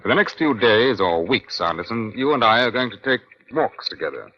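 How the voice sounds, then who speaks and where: An actor playing a senior British police inspector in an old-time radio crime drama, measured and authoritative with a dry, wry edge. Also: male voice, lowish voice, expressive. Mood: brisk, resolute. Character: male senior Scotland Yard police inspector, brisk and wry. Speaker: narrator and lead detective. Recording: dull, lo-fi vintage radio recording.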